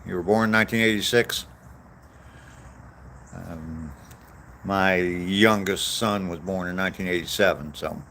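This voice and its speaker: A man's voice: low voice, unhurried, long pauses, a bit bright, a little hiss or hum. A man in his sixties chatting casually on a walk, answering viewer comments in a meandering, self-deprecating way. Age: 60s. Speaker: vlogger reading live chat on a walk. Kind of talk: casual rambling chat. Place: outdoors on a walk.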